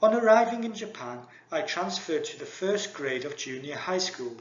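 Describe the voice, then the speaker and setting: Male lecturer, slightly raised pitch, formal scripted delivery, roomy muffled sound. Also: big pitch swings, moderate pace. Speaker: university lecturer. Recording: echoey dull lo-fi recording.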